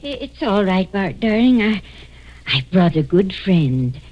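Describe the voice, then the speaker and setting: An actress playing an anxious mother who pleads haltingly to protect her fugitive grown son, in 1940s radio drama style. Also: medium pitch, sing-song, a little hiss or hum. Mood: protective, earnest. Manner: halting, distressed, earnest pleading. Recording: dull lo-fi vintage radio recording.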